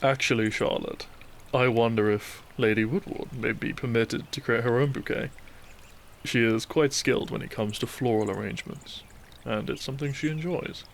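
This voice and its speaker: Male actor as tender gentleman bridegroom: low and creaky, earnest, with noticeable ambient noise. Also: fairly even intonation, moderate pace, lightly acted. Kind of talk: scripted romantic audio drama acting.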